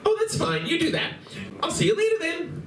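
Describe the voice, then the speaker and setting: A woman's voice: extremely high pitch, huge pitch swings, a little fast, heavily acted. A woman playing a giggly, gushing, flirty convention vendor in a live radio play. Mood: sugary. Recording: roomy live hall, distant mic, audience noise.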